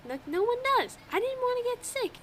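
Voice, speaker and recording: High-voiced woman, stuffy nose. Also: sing-song, brisk, fairly bright tone, slight background noise. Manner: chatty, swears freely, laughs often. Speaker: podcast co-host. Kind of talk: casual chat. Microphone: old home microphone.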